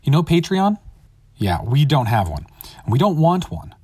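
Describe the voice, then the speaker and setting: A man's voice: on the high side, very animated, normal speed, a little mellow, slightly rough, no echo. A man hosting an audio drama podcast, reading a scripted, upbeat outro. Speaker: host of an audio drama series.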